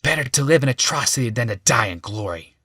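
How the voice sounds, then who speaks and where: A bitter fantasy rebel forced into service , acted by a male narrator. He speaks in a raised, swinging pitch with a resentful edge, close and dry. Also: expressive, fast talker, a bit bright, husky, dry recording. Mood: rueful. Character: Gix, bitter, cursing rebel turned reluctant servant.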